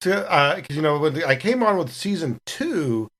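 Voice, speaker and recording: A man's voice: a little high, big pitch swings, moderate pace, a bit bright. A man on a podcast, chatting casually and recounting anecdotes as one of the cast. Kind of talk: casual chat, telling anecdotes.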